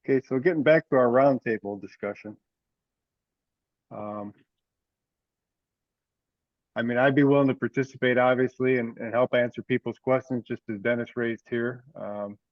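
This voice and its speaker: A man's voice: mid-range voice, fairly even intonation, normal speed, long pauses, no room sound. A man chairing an informal meeting over a teleconference, talking casually. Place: remote teleconference call. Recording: dull, dry teleconference audio.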